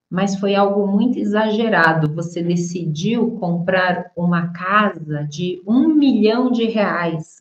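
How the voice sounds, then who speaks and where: Lady teacher going over phrases real slow, echoey room, kinda dull sound. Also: medium pitch, darkish tone. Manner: slow, explains idioms with repeated examples and switches into Spanish. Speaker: Portuguese teacher. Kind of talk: online language lesson.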